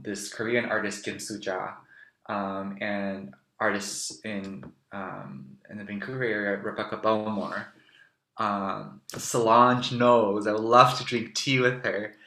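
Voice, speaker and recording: A young man from Taiwan, a guest musing thoughtfully through casual answers with soft fillers. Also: on the low side. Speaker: interview guest. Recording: roomy echo.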